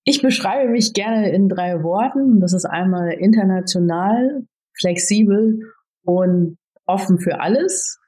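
A woman in her forties speaks as a podcast guest, talking through her working life at a measured pace. Her voice sits at a middle pitch, and she pauses often with small fillers while she gathers her thoughts. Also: mellow, slightly rough. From born in the Philippines, raised in Germany. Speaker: podcast guest, tech executive. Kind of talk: conversational interview answers.

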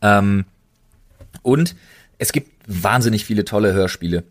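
A man's voice: lowish voice, unhurried. A German man co-hosting a casual podcast, speaking colloquially and emphatically, often doubling up his intensifiers. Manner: colloquial, emphatic enthusiast who repeats intensifiers. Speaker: podcast co-host.

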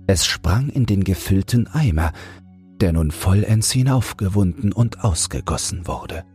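A man narrating a fairy tale as a bedtime audiobook, in a calm, measured storytelling manner. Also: low voice, faint music behind. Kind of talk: scripted fairy-tale narration for falling asleep.

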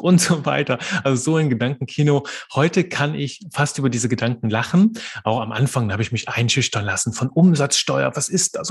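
A man in his thirties, born in Belgium, chats as a guest on a founders' podcast. His voice sits in the middle range and has a slightly husky, breathy edge. He tells his story in a lively way, full of fillers and restarts. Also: medium pitch. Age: 30s. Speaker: podcast guest, copywriter and trainer. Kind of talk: casual interview chat.

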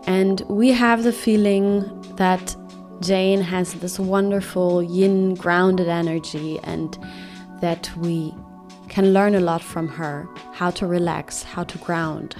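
A woman host introducing a podcast guest in a measured, gentle scripted intro. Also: on the low side. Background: soft music.

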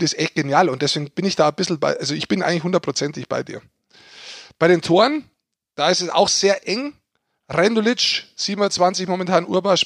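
A man's voice: high-pitched, fairly bright tone, no room sound, studio quality. A man chatting casually about sport in a colloquial, jokey way as a podcast co-host.

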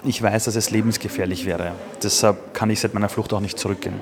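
A man in his forties, from Iran, speaks in an interview with a rapid, tumbling delivery. His voice sits fairly low, and he answers earnestly and with real feeling. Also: fairly even intonation, a crowd in the background. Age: 40s. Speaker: entrepreneur, interview guest.

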